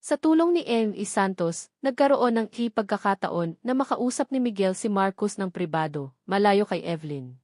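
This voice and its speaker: A woman's voice: mid-range voice, lively intonation, moderate pace, a little mellow, dry recording, clean studio sound. A woman with a Filipino accent narrating a scripted audio story.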